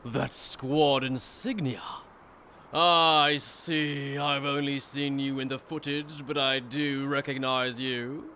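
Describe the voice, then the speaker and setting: A man's voice: mid-range voice, level tone, slow pace, pushed and bright, a little hiss or hum. A man playing a cruel, mocking aristocratic villain in an anime fan dub, with pompous, condescending, theatrical delivery. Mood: haughty, amused. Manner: pompous, theatrical, sneering formal speech.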